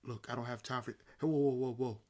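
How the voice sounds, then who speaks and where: A man playing a sardonic, freshly awakened vampire in a roleplay audio drama, talking casually and haltingly. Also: mid-range voice, steady tone, warm tone, natural voice. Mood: commanding, calm.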